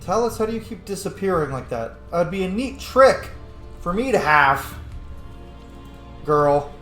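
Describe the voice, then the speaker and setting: A man's voice: sits high, very animated, unhurried, bright, forceful tone, reverberant, soft background music. A man giving casual, playful video game commentary, swearing lightly and muttering to himself. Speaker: let's player hosting a gameplay series.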